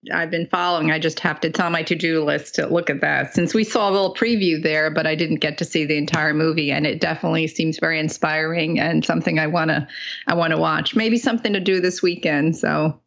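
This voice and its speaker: A woman's voice: deep voice, steady tone, fairly quick, vocal fry. A middle-aged woman from New Jersey chatting as an interview guest in a friendly, talkative way, with slightly muffled sound. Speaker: podcast guest, author and retired military lawyer. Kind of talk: casual interview conversation.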